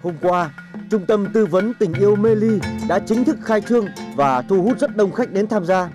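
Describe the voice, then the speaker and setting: A woman's voice: mid-range voice, normal speed, music in the background. A woman reading scripted mock-news narration as the narrator.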